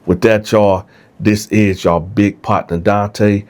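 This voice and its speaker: Male vlogger, lowish voice, stuffed up, casual storytelling. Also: fairly even intonation, slow pace, darkish tone. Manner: conversational, keeps asking "right?". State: stuffed-up nose, congested. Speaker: vlog host.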